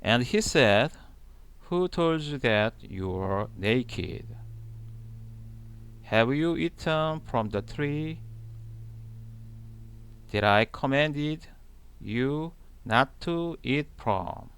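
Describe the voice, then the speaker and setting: Very slow, deliberate man reading scripture. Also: medium pitch, expressive, fairly bright tone, smooth. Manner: deliberate, halting short phrases. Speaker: channel host reading the Bible aloud. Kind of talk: slow scripture read-aloud.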